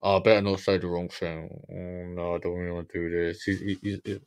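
Blunt stammering man, fairly low voice. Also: flat delivery, fairly quick, smooth. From Germany. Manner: blunt, stammering restarts, heated. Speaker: podcast host reacting to a documentary. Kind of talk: casual reaction commentary. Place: small room with purple lighting. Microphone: studio mic on boom, earphones.

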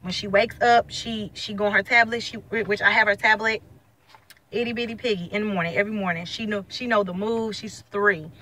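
Bright-voiced woman vlogging from a car. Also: mid-range voice. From St. Louis, USA. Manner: blunt, slangy, lively and chatty. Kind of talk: casual selfie vlog. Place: inside a parked car. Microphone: phone held at arm's length.

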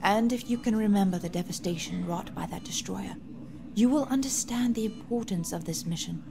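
A woman's voice: mid-range voice, brisk, gravelly, noisy background, lightly acted. Composed, grave noble rebel leader, acted by a woman. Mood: grave briefing. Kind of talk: science fiction audio drama acting.

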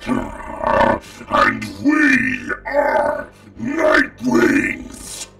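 Gravelly, menacing male dragon father. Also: very high-pitched, sharp tone, exaggerated voice. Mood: indignant, scornful. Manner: menacing, scornful, drawn-out dramatic delivery. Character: proud, stern old dragon father and tribe leader. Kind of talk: fantasy audio drama acting. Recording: distant mic with music behind.

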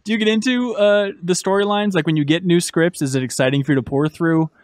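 A man's voice: high-pitched, fast, dry recording. A man in his thirties asking casual questions as a podcast interviewer, close on a studio microphone. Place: podcast room with brick wall and shelves, indoors. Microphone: desk studio microphone, close.

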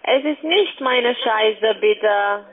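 A woman's voice: a little high, drawn-out words. A woman with a foreign accent, not a native speaker, making a cold sales call as a telephone agent. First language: not German. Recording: tinny, narrow phone line.

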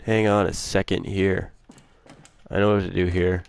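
A young man gives loose, rambling commentary while he plays a video game. His voice sits low, and he reads notes from the game aloud as he goes. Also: flat delivery, normal speed, vocal fry, clean tone. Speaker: gamer playing a horror-adventure game. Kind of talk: casual let's play commentary.

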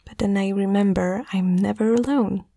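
A woman whispering softly and tenderly in a breathy voice, in a reassuring ASMR comfort roleplay, close to the microphone. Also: mid-range voice, fairly even intonation, normal speed, no echo.

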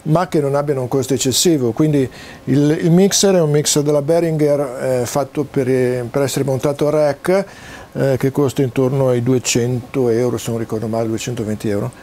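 A man gives an informal, halting technical explanation to camera, pausing often as he searches for words. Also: mid-range voice, steady tone, mellow. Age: 60s. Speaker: presenter demonstrating home-built audio and video gear. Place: small cluttered home study, indoors.

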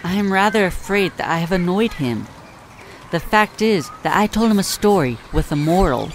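A man playing a mild songbird who narrates a storybook tale in a measured, gentle way. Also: high-pitched, expressive, slight background noise. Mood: mild, matter-of-fact.

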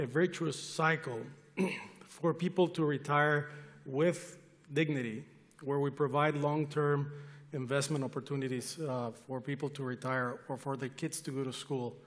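A man in his forties, an industry association chair, making an earnest, insistent case on a conference panel. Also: on the high side. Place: large conference hall stage. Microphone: headset mic. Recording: long hall reverb.

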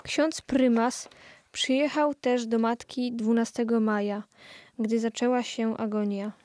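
A woman's voice: fairly high pitch, steady tone, unhurried, darkish tone, dry recording. A woman reading scripted documentary narration for an audio play.

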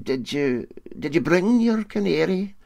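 A man's voice: on the high side, expressive, unhurried, vocal fry, darkish tone, pitched above the performer's own voice. A man voicing a crafty, anxious Scottish sportsman in a storybook, hesitant and wheedling. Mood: evasive.

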